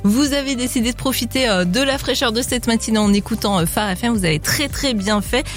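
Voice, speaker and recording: A woman's voice: a little high, fairly quick, faint music behind. A woman co-hosting a morning radio show, cheerful, with frequent fillers and easy laughter.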